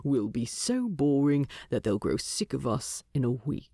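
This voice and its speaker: A woman's voice: extremely low pitch, very animated, measured pace, mellow, dry recording, clean studio sound, pitched below the performer's own voice, exaggerated voice. A woman voicing a proud, stoic man in a storybook narration, speaking in a firm, understated way. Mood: dry reassurance. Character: proud, stoic male exile, husband to a hobbit.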